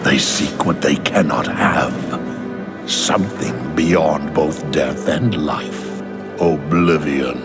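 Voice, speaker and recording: A man's voice: extremely low pitch, heavily acted. An actor playing an ancient, imperious king of the dead in a video game, haughty, bitter and menacing. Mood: scornful. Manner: slow, haughty, commanding. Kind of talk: dramatic video game acting. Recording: loud background music.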